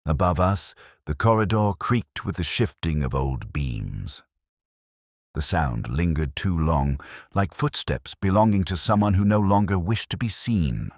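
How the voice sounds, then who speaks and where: A man narrating a first-person detective story in a measured, brooding, literary manner. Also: sits low, no room sound, studio quality.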